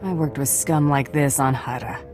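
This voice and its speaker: An actress playing a tough, mercenary bounty hunter in a video game, blunt and cool. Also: female voice, deep voice, steady tone, moderate pace, over music, natural voice. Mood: cocky, hard.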